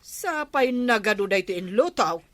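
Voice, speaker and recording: A woman performing a pert, indignant Ilocano village woman in a comedy drama. Her bright, forceful voice jumps around a lot and is recorded dry. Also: mid-range voice, lively intonation, normal speed, no room sound, close to an everyday voice.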